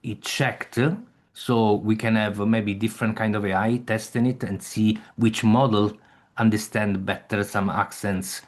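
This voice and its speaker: A man leading an online meeting, speaking haltingly with frequent restarts and non-native phrasing. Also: on the low side, flat delivery. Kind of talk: casual discussion.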